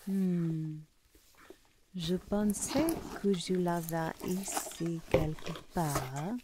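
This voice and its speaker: A woman playing a gentle, polite Tin Man who longs for a heart, murmuring hesitantly in a soft-spoken ASMR roleplay. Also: on the low side, steady tone, extremely slow, very noisy. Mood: searching, distracted.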